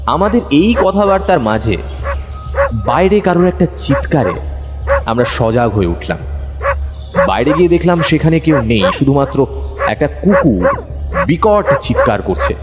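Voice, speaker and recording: A detective's curious, observant companion, played by a man recounts a mystery for an audio story. His delivery is very animated, with lively swings of pitch. The voice rings in a long hall-like reverb. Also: moderate pace, pauses often, over loud music. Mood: storytelling. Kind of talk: dramatised first-person story narration. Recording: long hall reverb, faint background noise.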